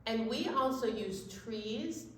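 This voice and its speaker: A woman's voice: a little high, animated, slow pace, a bit bright. A woman co-hosting a children's storytime, chatting simply and instructively with emphatic encouragement. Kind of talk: simple educational chat for young children. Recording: very echoey room with faint noise.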